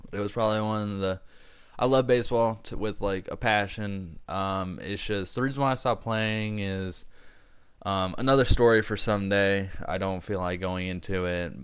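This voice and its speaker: A man's voice: lowish voice, clear, resonant tone. A young man hosting a casual storytelling vlog, rambling and often interrupting himself mid-thought. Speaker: video channel host.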